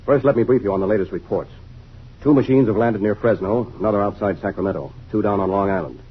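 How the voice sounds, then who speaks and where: Low-voiced army general on old radio. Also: male voice, husky. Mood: composed, authoritative. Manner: measured, authoritative. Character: composed male army intelligence general. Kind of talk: old radio drama acting. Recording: dull vintage radio with faint noise.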